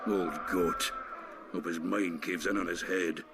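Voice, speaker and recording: A man's voice: low voice, steady tone, a little slow, background noise. A man playing a brash, bawdy dwarf, a colourful and boisterous storyteller in a fantasy game. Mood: indignant, bawdy. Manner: colourful, swearing, hearty storyteller. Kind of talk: dramatic game dialogue.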